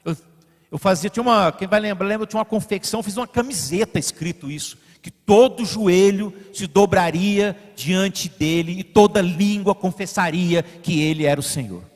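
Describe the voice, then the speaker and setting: A man from Brazil preaching a sermon as pastor, building to emphatic peaks and then falling to quiet asides. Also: high voice, normal speed, big-room sound. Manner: directs the slide operator mid-sermon. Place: church sanctuary.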